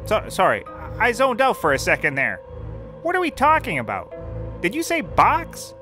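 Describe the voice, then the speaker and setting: A man's voice: sits high, wildly swooping pitch, moderate pace, sharp tone, faint music behind, full character voice. Wildly swooping eccentric bumbling detective played by a man. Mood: evasive, jittery. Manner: erratic, swinging from muttering to outbursts. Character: eccentric, rambling bumbling male detective undercover as captive. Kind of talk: scripted comedy audio drama.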